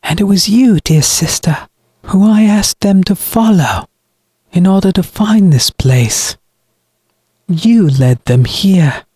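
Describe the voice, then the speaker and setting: A man's voice: high-pitched, animated, slow, mellow, husky, no echo, studio quality, voice pushed up, exaggerated voice. A man voicing a cold, mocking, murderous brother who speaks with grandiose piety in an audiobook. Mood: menacing. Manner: grandiose, sanctimonious, taunting.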